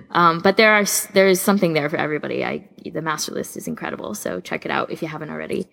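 A woman's voice: sits low, fast, vocal fry, a bit bright. A woman co-hosting a casual podcast, chatting enthusiastically like a keen fan. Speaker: podcast co-host.

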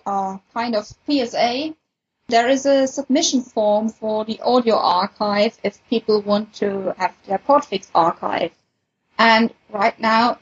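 Unhurried woman podcaster with husky voice. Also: on the high side, dull audio. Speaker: podcast host. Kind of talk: casual podcast chat.

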